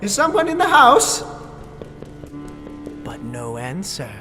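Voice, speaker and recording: An actor playing an impertinent, bumbling young gentleman, narrating a gothic parody audio drama in formal, wordy style. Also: high voice, wildly swooping pitch, slow, soft background music, exaggerated voice. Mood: alarmed.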